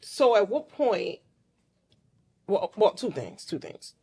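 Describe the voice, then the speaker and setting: Lively, blunt woman talk-show host. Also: medium pitch, slow, breathy edge. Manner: blunt, emphatic, playful questioning. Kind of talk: casual talk-show conversation.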